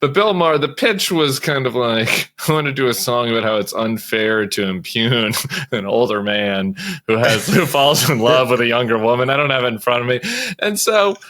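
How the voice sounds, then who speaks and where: Male American pundit with a sharp, bright mid-range voice, wry and rambling in informal panel talk. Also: mid-range voice, normal speed. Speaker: political commentator on panel. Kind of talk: casual political commentary.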